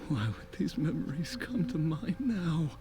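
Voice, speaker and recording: An actor playing a weary, wistful dying father in a video game, with halting, heartfelt delivery. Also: a little high, big pitch swings, background noise. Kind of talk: scripted video game drama.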